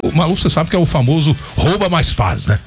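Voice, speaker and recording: A man's voice: a little high, sing-song, fast talker. A Brazilian man hosting a radio show, chatting colloquially, telling anecdotes and reading ads live. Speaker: radio host. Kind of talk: casual chat with live ad reads. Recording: radio talk over background music. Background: music.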